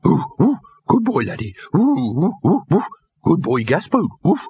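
A man's voice: fairly high pitch, wildly swooping pitch, moderate pace, dark tone, husky, no echo, pitched above the performer's own voice, heavily acted. A man voicing a simple-minded, obedient dog that barks a few repeated words, in a comic fantasy audiobook. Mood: eager, dim. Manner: yappy, repetitive, simple-minded. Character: dim, eager, obedient male dog, barking simple words.

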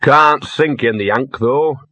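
A man voicing a cynical, maudlin little talking dog, hoarse and drunkenly slurred, in a comic fantasy audiobook. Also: mid-range voice, unhurried, a bit bright, dry recording. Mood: grumbling resignation. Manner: slurred, rambling, grumbling.

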